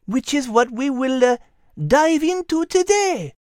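A woman playing a clever, gentle female cat with a French accent, playful and patient, in a children's audio story. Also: sits high, big pitch swings, a little slow, no echo, studio quality. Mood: gentle, wise. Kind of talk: scripted character dialogue in a children's audio story show.

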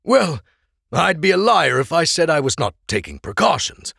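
A weary, protective rebel-leader father speaks earnestly as a man reads him. His voice is gravelly and sits higher than the narration, with a lively swing in pitch. It comes through dry and close for a storybook reading. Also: normal speed, no echo, full character voice.

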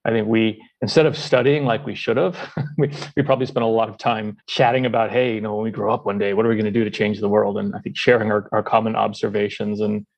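A man's voice: lowish voice, steady tone, fast, creaky, muffled recording. A middle-aged man giving conversational answers as an interview guest. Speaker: podcast guest, company founder.

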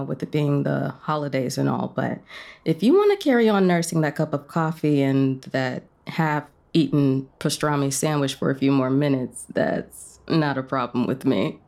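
A woman's voice: sits low, vocal fry, dark tone. A woman playing a playful, friendly diner owner who teases breezily, in a romantic audio drama. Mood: friendly, reassuring. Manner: teasing, breezy banter.

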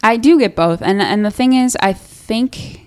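A woman's voice: medium pitch, a little slow. A young woman from Minnesota, a singer-songwriter chatting casually as a studio interview guest, restarting sentences and laughing at herself. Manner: chatty, restarts often, self-deprecating laughs.

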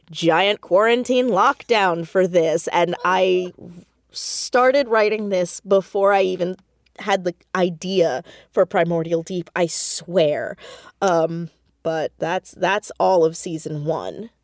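A woman's voice: on the low side, a little mellow, no echo, clean studio sound. A woman chatting casually as an interview guest, playful and wry in manner. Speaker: guest, audio drama creator.

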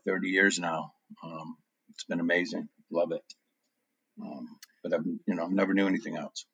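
A man in his forties talks in a very low, deep voice, recorded dry and close. He rambles through his story as an interview guest, filling gaps with ums. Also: gravelly, fairly bright tone, slightly rough, no room sound. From New Hampshire. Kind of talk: casual interview chat.

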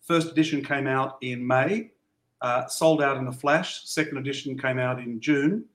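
A man's voice: medium pitch, normal speed, fairly bright tone. A man from Australia, an upbeat author being interviewed as a podcast guest. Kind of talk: casual interview chat.

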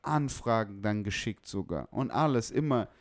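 A man's voice: on the low side, animated, moderate pace, dry recording, clean studio sound. A young man from northern Germany chatting casually about music, slangy, blunt and opinionated. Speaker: music producer, podcast guest.

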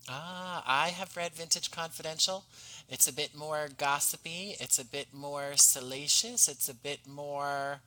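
A man speaking as an interview guest, articulate, measured and courteous in conversation. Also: a little high, sharp tone, poor audio. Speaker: podcast guest, playwright and writer.

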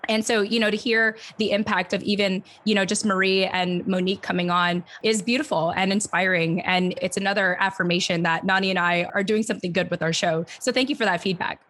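A woman's voice: medium pitch, fairly even intonation, a little fast, few pauses, a bit bright. A woman speaking as a podcast co-host, chatting and interviewing in a conversational, anecdotal way.

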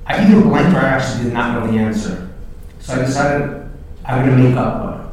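A man whose first language is Hebrew tells his story hesitantly, restarting sentences as he goes. His voice sits at an ordinary middle pitch. It sounds far from the mic in a very echoey room, with faint noise underneath. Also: brisk, darkish tone. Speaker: co-host and Chabad emissary. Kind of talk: personal storytelling in a live interview. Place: live recording before an audience.

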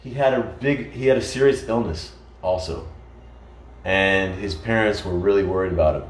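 An American man in casual conversation, telling stories in an animated way with frequent restarts. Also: deep voice, normal speed, a little hiss or hum. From United States. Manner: storytelling, animated, many restarts. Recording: echoey room.

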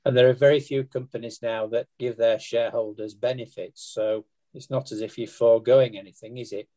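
A man's voice: medium pitch, fairly even intonation, brisk, mellow. A man interviewing guests on a podcast, putting leading, prompting questions.

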